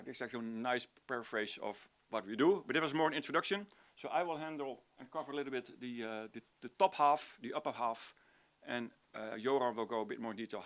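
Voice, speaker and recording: A man's voice: mid-range voice, a bit bright. A middle-aged man from the Netherlands with a Dutch accent, a native Dutch speaker, presenting informally as a university lecturer at a conference and often restarting as he searches for words. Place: conference room, before an audience.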